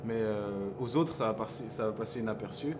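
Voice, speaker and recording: A man's voice: fairly low pitch, fairly quick. Reflective man from Montreal, noisy café. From Montreal, Quebec. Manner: reflective, searching for words with many fillers. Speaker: hip-hop artist guest. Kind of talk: casual interview chat.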